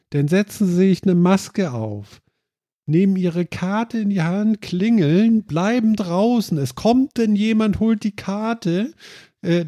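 A man's voice: high-pitched, dark tone, dry recording, clean studio sound. A man who sounds nasal from a stuffed nose, hosting a casual chat and rambling with many fillers.

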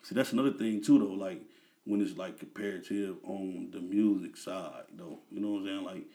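A man's voice: deep voice, moderate pace, warm tone. A man in his thirties, a guest in a casual interview, talking in a laid-back, slang-heavy way with lots of fillers.

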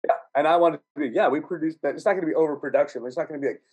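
Casual male musician guest, husky, loose and rambling, swears freely. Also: fairly high pitch, rapid-fire, a little mellow. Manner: rambling, profane, laughs often. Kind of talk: casual podcast chat.

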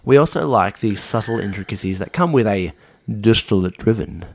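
A man's voice: on the low side, lively intonation, normal speed, dry recording. An Australian man co-hosting a podcast in casual chat, with a wry, jokey and opinionated manner. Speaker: podcast co-host.

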